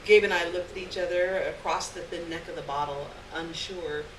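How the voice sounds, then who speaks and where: A woman giving an expressive dramatic reading of a literary short story live on stage, acting out the dialogue. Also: fairly low pitch, moderate pace. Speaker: story reader. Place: live stage event, recorded from a distance. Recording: roomy distant live recording, clear background noise.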